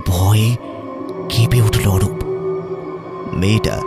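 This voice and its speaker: একজন পুরুষ কথক, টানটান রহস্যময় ভঙ্গিতে ধীরে ধীরে ভৌতিক গল্প বলেন।. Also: male voice, fairly low pitch. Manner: suspenseful, measured. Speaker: narrator. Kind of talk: dramatic horror storytelling. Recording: music behind voice.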